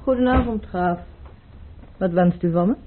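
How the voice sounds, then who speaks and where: An actress playing a proud, cool baroness, a ruler's mistress, in a Dutch radio play. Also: female voice, on the low side, normal speed, slight background noise, natural voice. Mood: cool, affronted. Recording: muffled, band-limited old radio recording.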